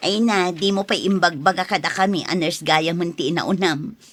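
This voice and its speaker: A woman's voice: lowish voice, moderate pace, fairly bright tone. A woman playing a talkative, sympathetic friend in an audio drama. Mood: chatty, pleased.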